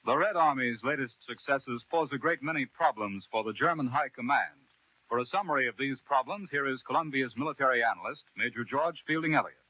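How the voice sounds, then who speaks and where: A man's voice: medium pitch, a little fast, sharp tone, dry recording. Old radio anchor guy handing off to reporters, muffled sound. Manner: formal announcer introductions. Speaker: news anchor. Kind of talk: 1940s radio news announcing. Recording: muffled 1940s radio broadcast.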